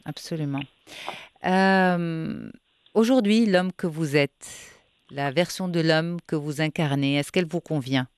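A woman's voice: low voice, slow, smooth, no room sound. A woman interviewer asking probing, reflective questions in a conversational way, searching for her words.

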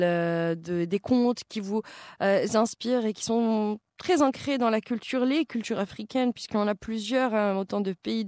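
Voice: medium pitch, darkish tone, no room sound, studio quality. A radio host who interviews a guest and then presents the next segment in a polished, formal manner.

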